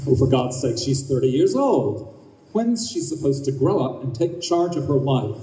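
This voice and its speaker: A man's voice: mid-range voice, lively intonation, moderate pace, dark tone, lightly acted. An actor in a live radio play as a stubborn, anxious middle-aged husband and father, grumbling and earnest. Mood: exasperated. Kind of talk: live old-time radio play acting. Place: live stage at a convention. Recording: roomy, distant, faintly noisy stage recording.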